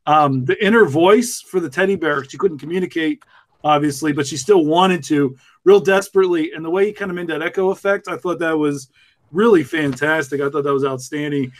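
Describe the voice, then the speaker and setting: A man's voice: fairly high pitch, a little fast. A man on a podcast panel chatting casually in a jokey, rambling, emphatic way. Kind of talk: casual group chat.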